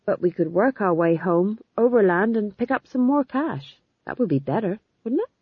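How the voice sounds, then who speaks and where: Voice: medium pitch, lively intonation, normal speed, slightly rough, no room sound, narrow, tinny audio. Shrewd, self-assured theatre boss, voiced by a narrator. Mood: shrewd, pleased. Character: confident, shrewd, money-minded young actor-manager, man.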